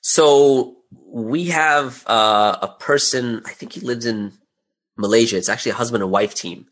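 A man's voice: mid-range voice, unhurried, a bit bright. A middle-aged man talking casually as an interview guest, in a chatty way peppered with "you know" and "right?". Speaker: guest, audio drama creator.

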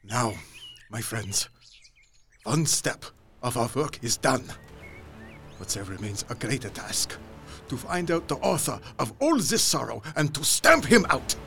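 Man playing grave old professor, creaky, dramatic swings. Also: mid-range voice, sing-song, unhurried, pushed and bright, faint music behind, heavily acted. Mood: grave, resolute. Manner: grave, rhetorical, emphatic. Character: solemn, fatherly older professor leading vampire hunters. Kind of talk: radio play acting.

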